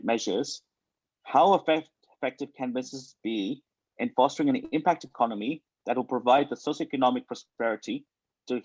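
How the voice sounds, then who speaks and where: Male panel moderator, mid-pitched, formal and wordy, dry but dull webinar sound. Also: darkish tone, dry recording. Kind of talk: moderated panel discussion, question and answer.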